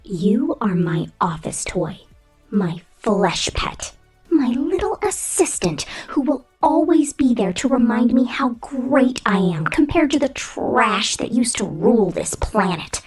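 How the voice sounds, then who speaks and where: A woman acts out a haughty female robot executive in an ASMR roleplay, breathy and whispery over faint music. She talks down to humans with slow, deliberate menace, vain and controlling in every phrase. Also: fairly high pitch, very animated, a little mellow, exaggerated voice. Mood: possessive, condescending. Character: domineering, vain female robot corporate boss with contempt for humans. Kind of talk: ASMR roleplay monologue, scripted.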